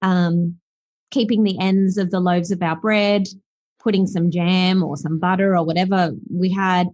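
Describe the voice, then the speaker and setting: A woman's voice: on the low side, fairly even intonation, a little slow, a little mellow, dry recording. A woman in her thirties from South Africa with an Australian accent, speaking as an interview guest in a reflective way, with frequent fillers. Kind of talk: podcast interview. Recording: dull, dry sound.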